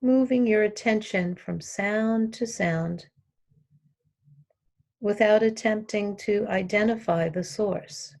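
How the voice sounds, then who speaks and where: A woman leads a guided relaxation meditation as a yoga teacher. She speaks very slowly at a medium pitch. Her instructions come calmly in short phrases, with long pauses left between them. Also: gravelly.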